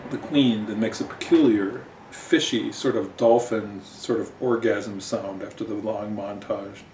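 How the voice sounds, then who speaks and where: Casting: male author-host, slow, halting, wry narrator, slightly high register, roomy distant recording. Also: fairly even intonation, a little mellow. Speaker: author and host reading own story. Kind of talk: informal dramatic comic story narration. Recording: roomy, distant mic, faint noise.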